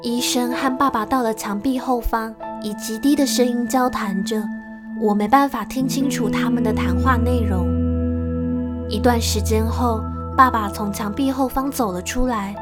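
A woman's voice: on the high side, moderate pace, over music, natural voice. A woman playing an anxious, frail young noblewoman in an audio drama, narrating earnestly in a troubled manner. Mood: troubled narration. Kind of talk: audio drama acting and first-person narration.

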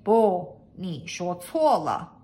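Woman acting as a storybook lord, pompous, commanding, eccentric, slow and emphatic, lively intonation. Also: lowish voice, full character voice. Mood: haughty, correcting. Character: pompous, bossy, eccentric lord, adult man.

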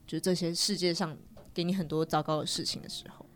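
A woman's voice: on the low side, steady tone, fast. A young woman with a Taiwanese Mandarin accent, chatting casually and asking questions as a podcast host. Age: young adult. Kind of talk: casual chat, interviewing guests.

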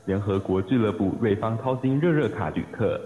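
A man's voice: lowish voice, normal speed, mellow, natural voice. A man playing a formal, courteous airline announcer in a radio drama, with dull, echoey sound.

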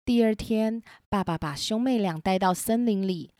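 A woman tells a scripted story for children as its host and narrator. Her pitch is average for a woman, and the sound is dry and close. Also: moderate pace, dark tone, dry recording.

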